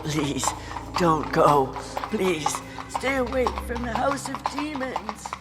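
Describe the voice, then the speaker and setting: A woman's voice: medium pitch, very animated, slow, over loud music. A woman playing a frightened old woman in an audio drama, with a rural American accent and an urgent, imploring, folksy way of talking. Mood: tearful begging. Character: frightened, pleading old woman warning of evil spirits.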